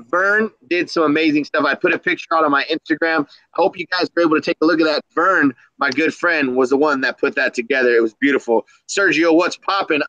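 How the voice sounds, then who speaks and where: Man, bright forceful voice, fairly high pitch, slangy upbeat live-stream host, dry close sound. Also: no room sound, rough recording. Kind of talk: casual live-stream chat with shout-outs.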